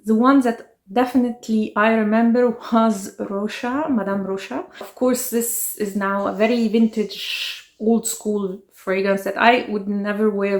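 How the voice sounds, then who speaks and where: A woman's voice: medium pitch, darkish tone. Woman, forties, from Egypt, chatty vlog host, reminiscing, echoey room. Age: 40s. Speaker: fragrance channel host.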